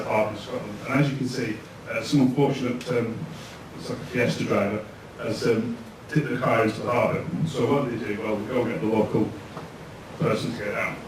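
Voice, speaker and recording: A man in his thirties with a Lancashire accent, giving a relaxed, chatty tech talk to an audience, pausing often with ums. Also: mid-range voice. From Lancashire, England. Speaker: conference speaker. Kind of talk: tech meetup presentation. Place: event room with audience. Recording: echoey room, distant mic, noisy.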